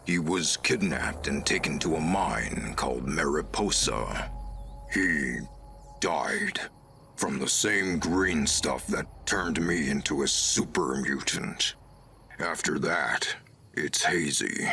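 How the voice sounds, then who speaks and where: A gentle old super mutant soldier from a video game, with a very deep voice full of gravel. He speaks slowly and haltingly, carrying old sorrow, with a hard forceful edge and faint noise behind him. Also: lively intonation, heavily acted. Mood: somber. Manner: slow, halting, deliberate delivery. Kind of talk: video game character dialogue.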